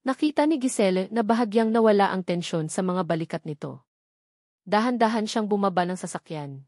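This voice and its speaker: A woman narrating a scripted romantic drama with emotive, deliberate phrasing. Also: medium pitch, a little mellow, no echo, studio quality.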